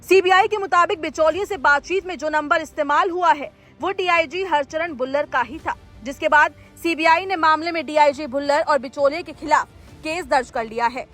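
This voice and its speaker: High-pitched woman reading news over music. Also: normal speed, fairly bright tone. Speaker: news presenter. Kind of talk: scripted news narration. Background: background music.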